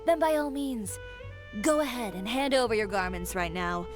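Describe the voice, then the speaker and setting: A woman's voice: a little high, lively intonation, normal speed, close to an everyday voice. A woman as a proud, cool fashion designer. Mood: composed. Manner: cool, self-assured, crisp commands. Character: proud, composed female fashion designer and boutique owner. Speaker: boutique owner. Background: game music.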